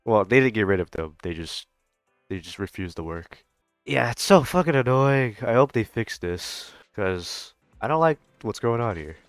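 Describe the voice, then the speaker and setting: A man's voice: lowish voice, lively intonation, moderate pace, dry recording, studio quality, soft background music. A young adult man giving casual, slangy reaction commentary on a game, with frequent swearing. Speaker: streamer reacting to a game story.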